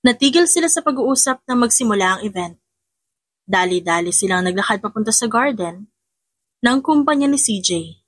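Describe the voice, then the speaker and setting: A woman's voice: mid-range voice, expressive, moderate pace, slightly rough, no room sound. A woman audiobook narrator reading a scripted romance story.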